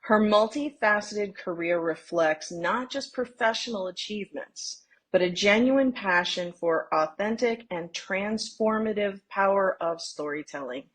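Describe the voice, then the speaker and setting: A woman's voice: mid-range voice, unhurried, few pauses, breathy edge. A woman hosting a podcast, chatty and encouraging as she draws out her guest. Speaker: podcast host. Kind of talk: casual podcast interview.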